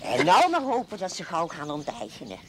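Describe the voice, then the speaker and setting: An actress playing a chatty, plain-spoken old spinster smallholder in a television period drama, folksy and wry. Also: female voice, on the low side, huge pitch swings, brisk. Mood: reminiscing. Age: older. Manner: folksy, blunt, wry, talkative. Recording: noisy old TV soundtrack with farmyard birds.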